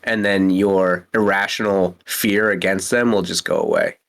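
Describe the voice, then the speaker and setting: A man's voice: low-pitched, moderate pace, vocal fry, fairly bright tone. A man on a casual podcast who teases the others and swears freely. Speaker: podcast co-host. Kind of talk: casual chat.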